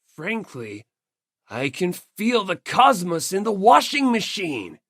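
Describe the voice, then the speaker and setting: A woman voicing an odd young male fortune teller student who rambles with energetic fervor, for an audiobook. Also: mid-range voice, slow, no room sound, clean studio sound, pitched above the performer's own voice. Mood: fervent wonder.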